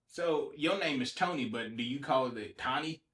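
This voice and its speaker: A man's voice: mid-range voice, normal speed. A man joking and teasing as a podcast co-host in casual chat. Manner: joking, teasing, loud bursts. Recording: echoey room.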